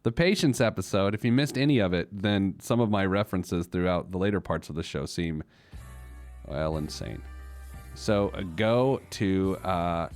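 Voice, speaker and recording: A man's voice: deep voice, moderate pace, darkish tone, no room sound, soft background music. A man hosting a podcast in a comedic monologue, with a wry, jokey, mock-exasperated manner. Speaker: podcast host.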